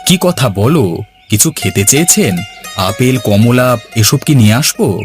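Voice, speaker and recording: Earnest village farmer played by a man, medium pitch. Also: lively intonation, a little slow, over music, lightly acted. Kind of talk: cartoon dialogue.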